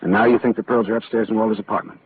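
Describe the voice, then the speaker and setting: A man's voice: on the low side, level tone, racing, natural voice. A man playing a wisecracking hard-boiled private detective, dry and clipped, in 1940s radio acting. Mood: wry. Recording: tinny old radio broadcast, faint hiss.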